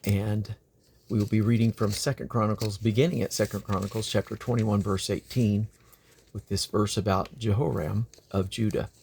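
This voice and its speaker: A man reading the Bible aloud in a deliberate, expressive way. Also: lowish voice, creaky, a little hiss or hum. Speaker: scripture reader.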